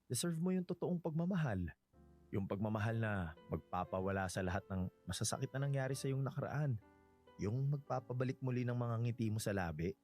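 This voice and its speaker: A man's voice: lowish voice, darkish tone, lightly acted. Man acting devoted lovestruck suitor, Filipino accent, earnest emotive delivery, romantic radio drama, music underneath.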